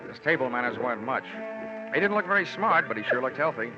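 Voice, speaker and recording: A man's voice: mid-range voice, lively intonation, fast talker, natural voice. A man plays a wisecracking insurance investigator who narrates in a dry, easy way. It is a 1950s radio drama with music behind, recorded dull like old radio.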